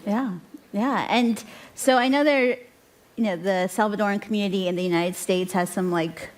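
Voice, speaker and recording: A woman's voice: mid-range voice, normal speed. A woman moderating a live panel, asking questions in a curious, friendly way.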